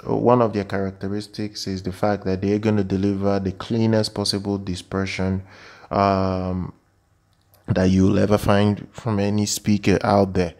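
Low, monotone man presenting product news. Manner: halting, repetitive phrasing. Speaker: tech channel presenter. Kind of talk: informal product news voice-over.

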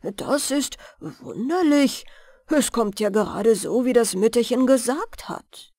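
A woman's voice: a little high, expressive, takes it slowly, mellow, no room sound, full character voice. A woman voicing a cheerful young male fairy-tale hunter, musing to himself with light amusement. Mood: wondering.

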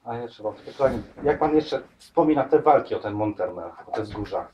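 A soft-spoken man asks short questions. His voice is dark and mellow, heard from a distance. The recording is dull. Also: mid-range voice, expressive, fairly quick. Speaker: interviewer. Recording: distant, dull camera audio.

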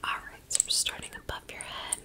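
Soft slow whispering lady doing reiki asmr, all hushed and gentle. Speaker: Reiki practitioner. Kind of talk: whispered ASMR energy session.

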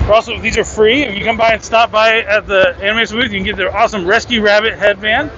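A man's voice: sits high, fairly even intonation, quick pace. A young man giving an upbeat walking tour of a convention booth, talking into a handheld mic with many fillers. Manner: upbeat and promotional, frequent fillers while walking. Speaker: booth guide for a card game company. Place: busy convention hall indoors. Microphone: handheld mic with windscreen. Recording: dull audio with loud crowd noise.

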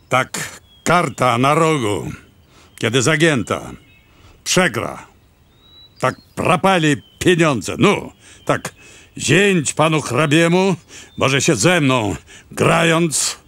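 A man's voice: a little high, sing-song, very slow, creaky, pauses often, fairly bright tone, no room sound, exaggerated voice. A man playing a blunt, sly army major in a radio drama, terse and drawling, with a Russian accent.

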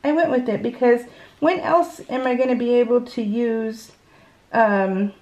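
A woman's voice: a little high, fairly even intonation, unhurried, lo-fi sound. Chatty, giggly American craft vlogger woman. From California, US. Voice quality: unusual, soft R sounds. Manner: giggly, rambling, self-interrupting. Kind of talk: casual chatty craft process narration. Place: indoors at home, at a craft table.

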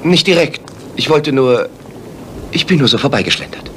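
An actor playing an earnest, polite gentleman sidekick who also narrates in an audio drama. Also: mid-range voice, animated, moderate pace, slight background noise, lightly acted. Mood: awkward, evasive.

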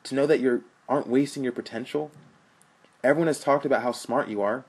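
A man's voice: on the low side, fast talker, darkish tone, husky. A man voicing an earnest young college student who lectures his older brother. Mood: pleading.

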